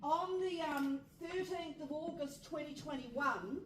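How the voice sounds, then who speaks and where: A woman questioning politicians from the floor of a public meeting, halting as she reads out dates and details. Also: high-pitched, slight background noise. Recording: echoey hall from far off, crowd behind. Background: audience.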